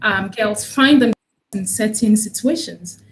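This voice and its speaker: A woman's voice: medium pitch, unhurried, slight background noise, poor audio. A woman campaign lead speaking earnestly in a studio interview, with a few fillers and restarts.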